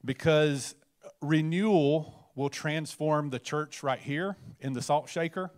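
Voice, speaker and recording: A man's voice: fairly high pitch, takes it slowly, a little mellow. Pastor telling his church's story. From Alabama, USA. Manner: storytelling, earnest, many fillers. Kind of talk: testimony and introduction. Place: church stage, indoors. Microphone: handheld mic.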